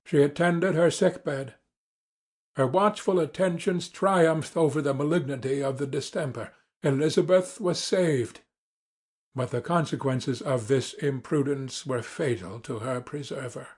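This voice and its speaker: A man reading classic literature as a dramatic audiobook narrator, formal, measured and earnest. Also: fairly high pitch, a little mellow, husky.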